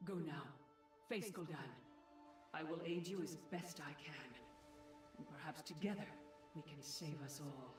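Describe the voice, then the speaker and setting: A man's voice: a little high, a little slow, long pauses, roomy sound, far from the mic, over music, close to an everyday voice. An actor playing a solemn male ally in a video game, grave and rallying as he commits to the heroes' cause. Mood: grave resolve. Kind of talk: game cutscene dialogue.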